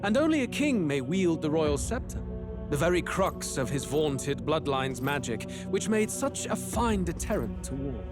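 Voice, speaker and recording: Menacing schemer, measured, loud score behind. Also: male voice, fairly high pitch, sing-song, music in the background. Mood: scheming. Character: cold scheming male villain, menacing.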